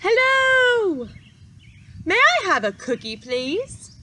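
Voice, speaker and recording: Lady doing a tiny polite duckling from a storybook, super high, sweet n cheerful. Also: big pitch swings, slow, pushed and bright, a little hiss or hum, full character voice. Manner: politely cheerful, simple short phrases.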